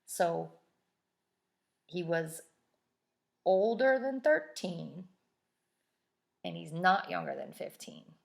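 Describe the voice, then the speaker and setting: A woman reading a children's story aloud like a teacher, pausing to explain things step by step in simple words. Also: lowish voice, expressive, creaky.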